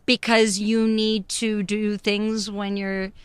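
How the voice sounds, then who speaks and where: A woman giving animated, emphatic, encouraging advice in conversation, often acting out little bits of quoted dialogue. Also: medium pitch, takes it slowly, clear, resonant tone, no echo. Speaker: podcast guest, expat living in Spain.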